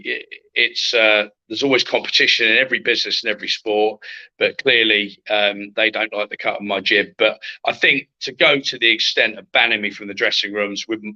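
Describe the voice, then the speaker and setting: Measured male guest, dull call audio. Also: on the low side, sharp tone. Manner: measured, hesitant, with many fillers. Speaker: guest, boxing manager.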